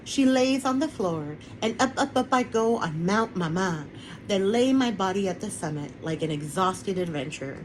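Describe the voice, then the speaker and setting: A woman's voice: mid-range voice, expressive, normal speed, a little hiss or hum, low-quality recording. A woman who is an author, reading her children's picture book aloud on an online webinar recording. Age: adult, parent of a young son.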